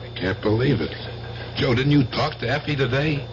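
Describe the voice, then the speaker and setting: An actor in a radio drama playing a worried, streetwise older uncle, gruff and familiar. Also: male voice, medium pitch, moderate pace, a crowd in the background, natural voice. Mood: shocked. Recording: dull, very noisy old radio recording.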